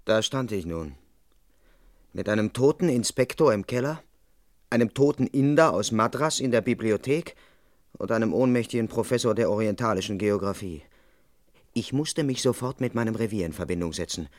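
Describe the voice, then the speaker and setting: Actor playing an earnest, deadpan police constable. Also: male voice, lowish voice, moderate pace, no echo, studio quality, close to an everyday voice. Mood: deadpan narrating. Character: earnest, dutiful male police constable, politely flustered. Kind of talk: first-person narration and dialogue in crime radio play.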